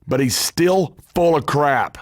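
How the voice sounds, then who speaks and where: A man's voice: on the high side, expressive, slow pace, no room sound, studio quality. A man playing a cocky, scheming, trash-talking pro wrestler in scripted video game dialogue, with a brash, emphatic delivery. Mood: defiant, scornful.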